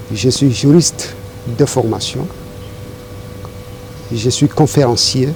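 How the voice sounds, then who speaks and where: A middle-aged man from Congo answering interview questions in a deliberate, formal, rhetorical way. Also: mid-range voice, mellow, slight background noise, rough recording. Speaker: interview guest.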